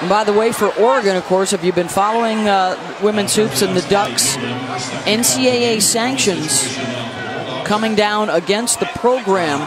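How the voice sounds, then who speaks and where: A woman doing brisk play-by-play commentary courtside on a headset mic. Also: medium pitch. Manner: brisk, calls scores and stats. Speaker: play-by-play announcer. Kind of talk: live basketball commentary. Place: courtside at a packed arena. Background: loud crowd and arena music.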